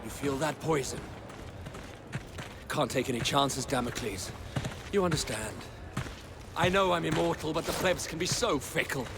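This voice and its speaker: An actor playing a vain, cruel young Roman prince-general in a video game, declaiming pompously and grandly. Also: male voice, fairly high pitch, moderate pace, vocal fry, pauses often. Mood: sly, gloating. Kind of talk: theatrical video game voice acting. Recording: loud arena crowd and noisy ambience behind.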